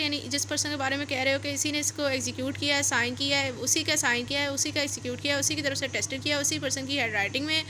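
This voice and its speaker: A woman's voice: high-pitched, sharp tone, slight background noise. A woman with a Pakistani accent giving an explanatory law lecture, reading clauses aloud and then explaining them repetitively, switching between two languages. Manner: repetitive, code-switching between English and Urdu.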